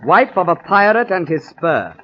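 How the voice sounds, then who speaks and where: Curt, commanding naval lieutenant, swooping pitch. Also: male voice, very animated, moderate pace. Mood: cold, curt. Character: stern naval lieutenant coldly ordering a captive woman. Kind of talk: 1950s radio drama acting. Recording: muffled old radio recording, faint noise.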